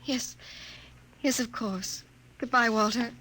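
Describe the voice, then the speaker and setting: A young woman acting in a 1950s radio drama as an anxious, self-sacrificing scientist in love, speaking haltingly with held-back emotion. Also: fairly high pitch, fairly even intonation, heavy background noise. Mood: subdued.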